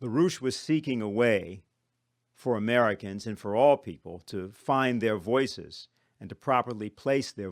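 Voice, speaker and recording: A man delivering a prepared formal speech as a conference speaker, with measured, rhetorical phrasing. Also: lowish voice, no room sound, clean studio sound.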